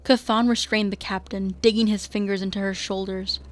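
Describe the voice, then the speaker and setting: A woman's voice: mid-range voice, level tone, moderate pace. A woman reading scripted narration as the narrator of a radio drama.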